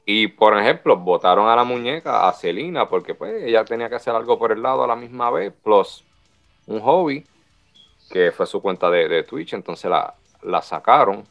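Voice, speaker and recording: A man's voice: on the low side, animated, normal speed, vocal fry, a bit bright, muffled recording, faint music behind. A man, hosting a casual wrestling chat and steering it to the next topic.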